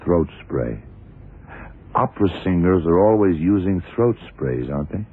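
An actor playing a blunt, dry police detective in a 1970s radio crime drama, questioning in a clipped, matter-of-fact way. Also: male voice, sits low, lively intonation, unhurried, slightly rough, heavily acted. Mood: puzzled, methodical. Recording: tinny, band-limited old radio recording.

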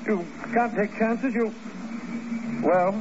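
An actor playing a jumpy, hot-headed army officer in a 1950s radio thriller, agitated and blustering. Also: male voice, sits high, steady tone, takes it slowly. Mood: panicked, defensive. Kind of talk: 1950s radio drama acting. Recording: muffled, noisy old 1950s radio recording.

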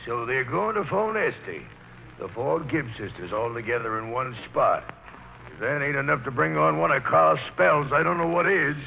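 An actor playing a folksy, teasing older husband in a 1940s radio play, dry and wry. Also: male voice, mid-range voice, animated, normal speed, vocal fry, lo-fi sound. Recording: noisy old radio broadcast.

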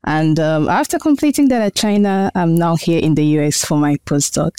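A woman in her thirties answers interview questions about her work. Her voice is smooth and resonant, with a dry, close sound. She talks in an earnest, explanatory way, thinking things through as she goes. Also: sits low, moderate pace, mellow, dry recording. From Nigeria. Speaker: guest researcher.

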